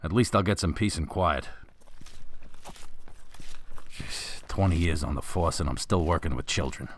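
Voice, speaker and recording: A man's voice: rumbling low, unhurried. An actor playing a weary, exasperated middle-aged police officer in a radio drama, grumbling as he goes. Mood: weary exasperation.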